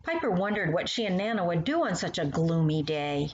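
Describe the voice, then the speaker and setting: A woman reads children's verse and storybook prose with a very lively, swooping delivery. She addresses young listeners directly and expressively. The recording is roomy and a little muffled, with faint noise. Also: lowish voice, fairly quick. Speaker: author reading aloud to young children.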